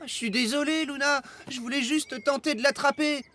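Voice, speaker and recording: A woman's voice: sits high, fairly even intonation, moderate pace, fairly bright tone. A woman playing a timid, clumsy, apologetic schoolboy in a cartoon, with a worried and hesitant manner. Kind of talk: animated cartoon dialogue. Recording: music under the dialogue.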